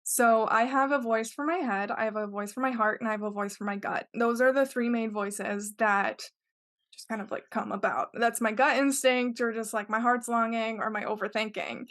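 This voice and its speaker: A woman's voice: a little high, fairly even intonation, normal speed, a bit bright. A woman talking in a casual interview, earnest and rambling, with lots of filler words. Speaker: podcast guest.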